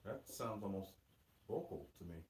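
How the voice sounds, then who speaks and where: A man's voice: deep voice, normal speed, distant mic. Joking ghost hunter man off-mic. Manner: joking, relaxed, teasing. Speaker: paranormal investigator. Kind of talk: casual chat during a ghost hunt. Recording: echoey room, voice from off-mic, faint hiss.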